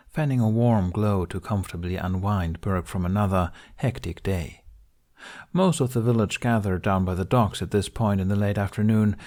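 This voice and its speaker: Male narrator for fiction audiobook: lowish pitch, crackly vocal fry, deliberate and expressive storytelling read.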